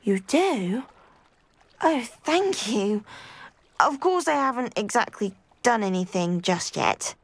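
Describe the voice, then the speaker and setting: Game role: earnest, effusive young witch, lively intonation, close dry sound. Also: fairly high pitch, slow pace, dry recording, heavily acted. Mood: hesitant. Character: earnest, grateful, anxious young woman with a love of diplomacy. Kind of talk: scripted game acting.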